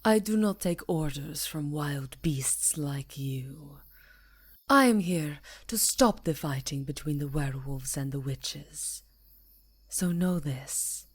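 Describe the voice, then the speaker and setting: A woman's voice: sits low, slow, husky, exaggerated voice. A woman playing a regal, coolly civil vampire countess in an ASMR roleplay, with a formal, imperious delivery. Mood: haughty, defiant.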